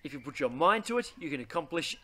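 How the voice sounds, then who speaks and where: Aussie guy reading a kids book out loud, kinda high voice, really swoopy and theatrical. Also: sing-song, fast. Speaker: read-aloud host. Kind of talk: expressive children's picture book read-aloud.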